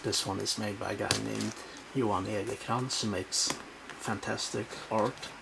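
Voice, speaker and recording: A man with a Swedish accent, whose first language is Swedish, casually showing his bookshelves at home and talking in halting bursts with many fillers. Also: fairly low pitch, steady tone, creaky, heavy background noise. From Sweden. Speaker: book collector showing his shelves. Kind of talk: casual home video walkthrough. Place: home room.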